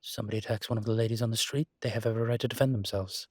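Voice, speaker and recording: A man playing a suave, proud incubus demon in a roleplay audio drama, speaking in a husky voice with wry, articulate, emphatic phrasing. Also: lowish voice, fairly even intonation, racing, no echo, studio quality, lightly acted. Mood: indignant, protective. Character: suave adult male incubus demon, proud, wry, protective of his kind. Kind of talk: roleplay audio drama, intimate conversational monologue.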